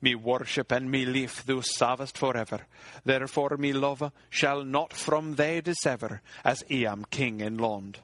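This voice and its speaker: A man's voice: mid-range voice, a little slow, fairly bright tone, dry recording, lightly acted. A man voicing a troubled medieval king, speaking archaic verse in a grave way.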